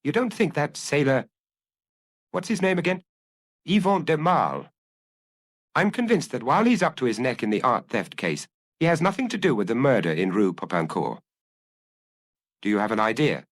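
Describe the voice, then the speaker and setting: A man voicing a brisk, inquisitive male examining magistrate with a pressing, questioning manner in an audiobook. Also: medium pitch, very animated, pitched above the performer's own voice.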